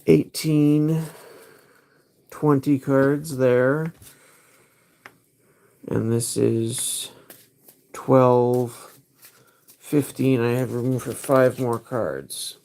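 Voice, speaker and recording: Male stream host, mid pitch, slow and deliberate, muses out loud. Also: steady tone, creaky. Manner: thinks aloud while choosing cards. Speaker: livestream host. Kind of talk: casual livestream commentary.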